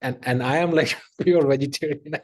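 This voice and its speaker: A man's voice: on the high side, moderate pace. A middle-aged man, hosting a podcast and telling stories in a rambling, filler-heavy way with lots of laughter. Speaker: podcast host. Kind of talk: casual conversational storytelling.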